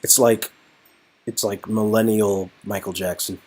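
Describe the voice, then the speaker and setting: Husky man reading a story game aloud. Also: lowish voice, little pitch movement, unhurried, darkish tone. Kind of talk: casual playthrough with narration read aloud.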